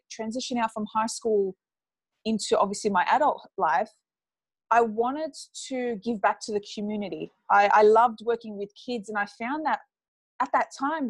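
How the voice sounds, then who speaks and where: A woman's voice: fairly high pitch, no room sound. A woman from Australia with an Australian accent, chatting upbeat as an interview guest and laughing often. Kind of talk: casual interview chat.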